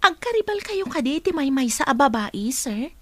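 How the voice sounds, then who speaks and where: Teasing office colleague, played by a woman: high voice, inquisitive, dry radio drama recording. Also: very animated, normal speed, no room sound. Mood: teasing curiosity.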